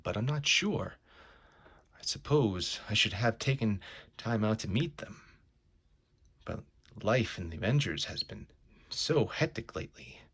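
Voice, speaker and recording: A man's voice: lowish voice, fairly bright tone, slightly rough, exaggerated voice. A man voicing a wary, wisecracking superhero who thinks aloud in an anxious, colloquial mutter, as in a comic book reading. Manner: muttering self-talk, colloquial exclamations.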